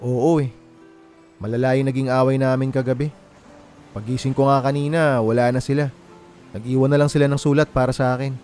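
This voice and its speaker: A man's voice: mid-range voice, flat delivery, a little fast, long pauses, a little mellow, natural voice. Actor as a heartbroken young Filipino man, music behind. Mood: downcast. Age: young adult. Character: heartbroken young man, betrayed and wronged boyfriend. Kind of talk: Filipino radio drama acting.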